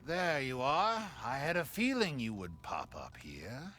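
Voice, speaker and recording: A genial, mysterious shopkeeper from a video game. He talks with unhurried, theatrical courtesy, his pitch gliding dramatically up and down as he savors his phrases. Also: wildly swooping pitch, sharp tone, soft background music, full character voice. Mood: knowing, calm. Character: genial, unhurried, enigmatic male merchant, theatrically polite.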